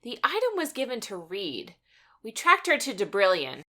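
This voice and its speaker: An actress playing a brisk, flippant cyborg mercenary spy in a space audio drama. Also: female voice, a little high, huge pitch swings, pushed and bright, full character voice. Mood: businesslike.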